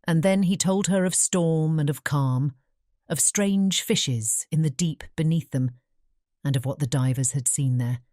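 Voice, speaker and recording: Woman, British accent, deep mellow voice, measured fairy tale narration, audiobook reader, dry close sound. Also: dry recording. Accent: British English.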